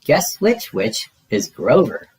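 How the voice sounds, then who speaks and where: A man reading a storybook, voicing playful young monsters dressed up as witches, excitable and mischievous. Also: medium pitch, takes it slowly.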